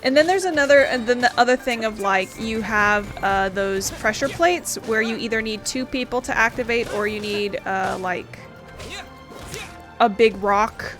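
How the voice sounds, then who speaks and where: A woman hosts a casual gaming video in a fairly high voice. She is playful and sarcastic, chatting off the cuff, with faint music underneath. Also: moderate pace, a bit bright. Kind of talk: casual let's play commentary.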